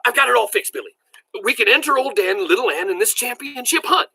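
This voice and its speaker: A man voicing an eager, excitable old country grandpa in a storybook read-aloud, with folksy, emphatic delivery. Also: medium pitch, moderate pace. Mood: excited, shouting. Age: older.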